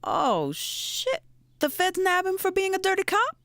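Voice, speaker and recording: A woman's voice: extremely high pitch, wildly swooping pitch, measured pace, a bit bright, dry recording, studio quality, exaggerated voice. A woman playing a blunt, loyal, streetwise best friend in an audio drama, with a slangy, probing way of talking. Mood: curious, surprised. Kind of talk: naturalistic audio drama acting.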